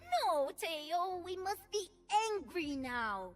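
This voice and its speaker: A woman's voice: extremely high pitch, big pitch swings, slow, faint music behind, full character voice. A woman voicing a playful, childlike and tender shapeshifting chameleon creature in a video game, speaking in simple broken sentences about herself in the third person. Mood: indignant. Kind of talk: video game character voicelines.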